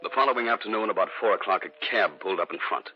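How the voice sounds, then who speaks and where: A man's voice: fairly low pitch, very fast, close to an everyday voice. An actor playing a tense, earnest husband who narrates his ordeal in an old radio drama. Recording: dull old radio recording with music.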